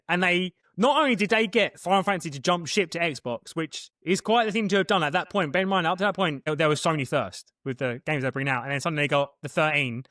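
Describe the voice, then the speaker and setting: A man's voice: on the high side, fairly bright tone, no echo, studio quality. A young man co-hosting a podcast, chatting casually and rambling with lots of restarts. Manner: rapid, rambling, many restarts. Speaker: podcast co-host. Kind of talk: casual chat.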